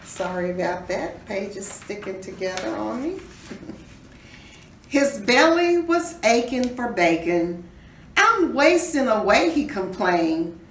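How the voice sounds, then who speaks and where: A woman reading a children's story aloud in an expressive, teacherly way, pausing to put questions to her listeners. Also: mid-range voice. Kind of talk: dramatic read-aloud with questions to young listeners. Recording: roomy echo, distant mic, faint noise.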